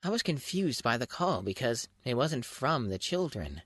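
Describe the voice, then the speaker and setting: A man's voice: mid-range voice, very animated, moderate pace, a bit bright, slightly rough, no echo, clean studio sound. A man reading a scripted first-person story aloud as a dramatic, mock-grand audiobook narrator. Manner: theatrical, mock-grand delivery. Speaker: fan fiction audiobook narrator.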